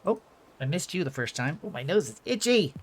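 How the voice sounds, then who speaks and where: A man's voice: on the high side, very animated, brisk, a little hiss or hum. A man giving casual let's-play commentary, rambling along and thinking aloud as he goes. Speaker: gaming video host.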